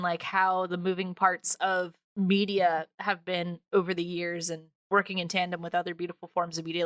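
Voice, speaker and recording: Bright, clear woman chatting excitedly. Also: on the low side, no room sound. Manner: gushing, informal, rambling. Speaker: podcast host. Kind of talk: casual podcast chat.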